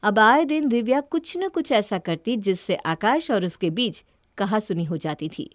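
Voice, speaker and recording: A woman's voice: medium pitch, expressive, unhurried, no room sound, clean studio sound. A woman reading scripted story narration.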